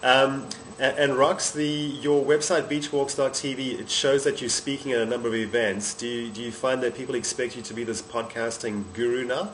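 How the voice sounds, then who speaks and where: A man's voice: mid-range voice, fairly even intonation, normal speed, hardly any pauses, a bit bright, slight background noise, low-quality recording. A man interviewing someone casually, putting questions in an informal, conversational way.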